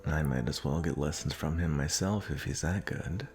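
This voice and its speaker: Man playing a very deep, gravelly suave prince. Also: moderate pace, darkish tone, faint music behind. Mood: flirtatious, coaxing. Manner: suave, flirtatious, coaxing. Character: smooth, possessive suitor prince courting his arranged bride. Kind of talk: romantic audio roleplay acting.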